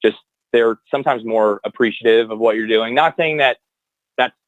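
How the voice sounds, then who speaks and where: A man's voice: medium pitch, moderate pace, no room sound, narrow, tinny audio. A young man, a guest anthem singer, chatting casually on a podcast in long rambling answers full of fillers and restarts.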